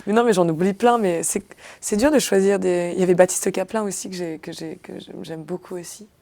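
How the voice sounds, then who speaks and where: Young woman comedian, chatty and halting, slightly low voice; interview guest. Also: steady tone, creaky, dark tone. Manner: chatty, hesitant, many fillers and restarts. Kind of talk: casual interview chat.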